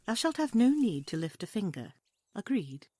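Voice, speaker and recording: A woman's voice: mid-range voice, very animated, moderate pace, dark tone, slightly rough, dry recording, studio quality. A woman voicing a bold, wily tavern seductress in an audio play, coaxing in formal archaic English. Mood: enticing.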